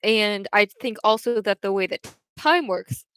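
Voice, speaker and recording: A woman's voice: medium pitch, lively intonation, measured pace, fairly bright tone, clean tone, dry recording. A young woman casually chatting on a livestream, answering viewers' questions in a rambling way full of restarts and fillers. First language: English.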